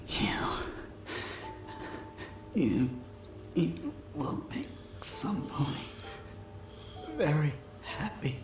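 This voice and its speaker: A man voicing a gentle, heartbroken young stallion in a dramatic animated comic, weak and halting, often near a whisper. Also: a little high, full character voice. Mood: tender, fading. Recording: echoey, music over voice.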